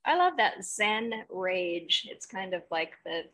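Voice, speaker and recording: A woman's voice: medium pitch, very animated, takes it slowly, a bit bright. A woman hosting an interview in a conversational style. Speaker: host. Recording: noticeable background noise.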